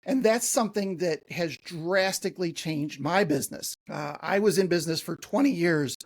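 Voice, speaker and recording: A man's voice: sits high, moderate pace. A man hosting a marketing podcast, chatting in a friendly, casual way with frequent fillers. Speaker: podcast host. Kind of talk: podcast interview.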